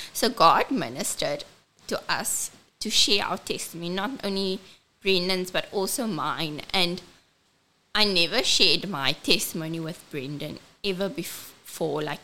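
A South African woman with a squeaky, childlike voice, speaking earnestly as a ministry host and switching between English and Afrikaans. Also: lively intonation, a little slow, bright, forceful tone. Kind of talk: personal testimony and event announcement.

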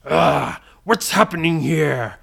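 A man voicing a villainous pirate captain in a bedtime-style story, with a slow, taunting drawl and sinister chuckles. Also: on the high side, lively intonation, vocal fry, a bit bright, husky, heavily acted. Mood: puzzled. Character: villainous male pirate captain, gloating and menacing.

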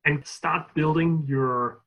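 A man's voice: fairly high pitch, steady tone, slow, fairly bright tone, low-quality recording. A man for whom English is not his first language, a guest author answering interview questions with wry humor. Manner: wry, self-deprecating humor.

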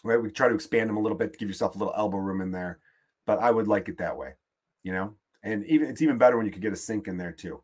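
A man's voice: on the low side, rapid-fire, darkish tone. A man with a Chicago accent, hosting a podcast and delivering a profane, exasperated, rambling comedic rant. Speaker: podcast host.

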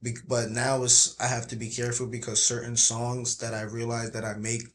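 A man with a low voice, talking casually as a guest on a podcast, with lots of filler words. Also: normal speed, slight background noise. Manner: relaxed, many filler likes. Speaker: guest rapper.

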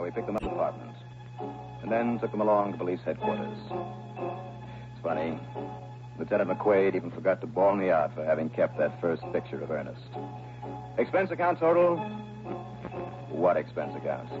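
An American actor playing a wry, confident private investigator who narrates his case in a brisk 1960s radio drama style. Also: deep voice, sing-song. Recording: dull vintage radio recording with music.

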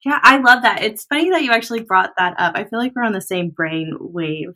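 Chatty thirty-something host lady, says like a lot, bright voice. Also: mid-range voice, expressive, fairly quick. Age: 30s. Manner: chatty, lots of "like" and "you know". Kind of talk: casual podcast chat.

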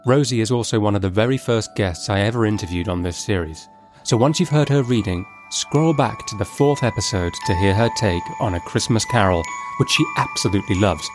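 A man's voice: lowish voice, brisk. A man hosting a series, introducing a reading with light, joking asides. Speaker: series host. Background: faint music.

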